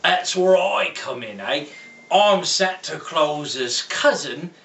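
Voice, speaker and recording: A man's voice: on the high side, expressive, takes it slowly, fairly bright tone, full character voice. A man voicing a jolly old pirate, Santa's seafaring cousin, reading a storybook aloud in folksy pirate slang, tagging his lines with "eh?". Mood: jovial. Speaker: storybook reader. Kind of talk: in-character read-aloud. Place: room, reading to a computer. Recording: roomy, mic at a distance.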